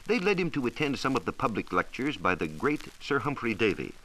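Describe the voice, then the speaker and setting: An American man presenting a scripted 1940s educational radio science talk with measured, emphatic storytelling. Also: mid-range voice, very animated, sharp tone, rough recording. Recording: hissy 1940s radio transcription recording.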